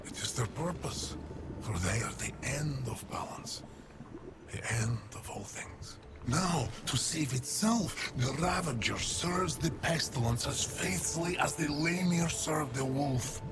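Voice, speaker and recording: Slow, weary ancient plague-ridden forest elder. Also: male voice, a little high. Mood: grave, ominous. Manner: grave, archaic, deliberate storytelling with long pauses. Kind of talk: video game character dialogue, dramatic lore monologue. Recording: loud background music under the voice. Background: music.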